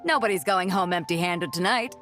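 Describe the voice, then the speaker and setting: An actress playing a bold, generous, confident ship captain in a video game, with a hearty, breezy way of talking. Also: female voice, lowish voice, a little fast, fairly bright tone, close to an everyday voice. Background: game music.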